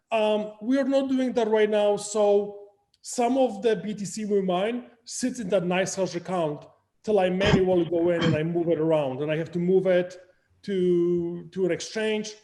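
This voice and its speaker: Polish-accented man, high and mellow. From Poland. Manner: energetic, explains with hand gestures. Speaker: crypto project founder, guest. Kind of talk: video call interview. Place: home room with framed art and plants. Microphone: video call, webcam.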